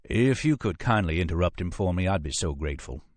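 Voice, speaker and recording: Guy doing a southern fed for an audiobook, deep voice, polite but coolly in command. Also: expressive, a little fast, no echo. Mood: courteous. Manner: courteous, turning sharp and officious. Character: smooth, commanding Southern FBI agent, coolly manipulative.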